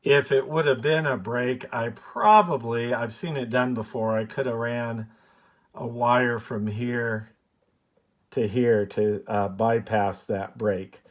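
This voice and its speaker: Slow-talking man, casual repair walkthrough. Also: medium pitch. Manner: unhurried, halting, thinking aloud. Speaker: hobbyist presenter of a radio repair video. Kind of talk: casual unscripted show-and-tell commentary.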